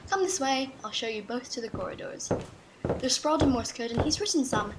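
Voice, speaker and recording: Lady acting snooty doubting general, highish swoopy voice, radio drama, noisy clicky audio. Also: lively intonation, a little fast. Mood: sceptical, wry. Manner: wry, dismissive, authoritative. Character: sceptical, haughty female general.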